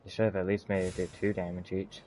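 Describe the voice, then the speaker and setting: A man's voice: deep voice, flat delivery, quick pace. A man giving casual commentary on his own card game, muttering to himself as he plays. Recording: loud background noise, mouse clicks.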